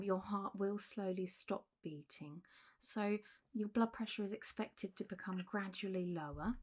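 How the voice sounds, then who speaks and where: A woman with a soft, mellow voice that is breathy and often slips into whispering. She plays a clinic doctor in an ASMR roleplay. Her manner is gentle and reassuring, and she takes her time, as if easing a patient through a check-up. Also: mid-range voice. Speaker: ASMR performer role-playing a clinic doctor.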